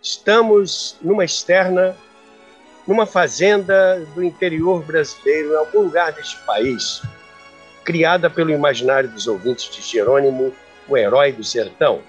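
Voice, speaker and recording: A man's voice: a little high, moderate pace, lo-fi sound, soft background music. A man presenting a show, addressing his audience.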